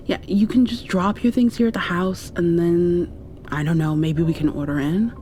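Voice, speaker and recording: A woman doing an ASMR roleplay, drifting between whispers and soft speech in an affectionate, playfully scolding way. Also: on the low side, steady tone, a little slow, slight background noise.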